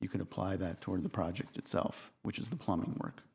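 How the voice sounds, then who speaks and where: A man's voice: sits low, fairly quick, creaky, darkish tone, husky. A man on a city committee, pressing an applicant with detailed, insistent questions and cutting in to make his point. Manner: probing, insistent, interrupts. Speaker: committee member. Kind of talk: questioning at a public meeting. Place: city council chamber.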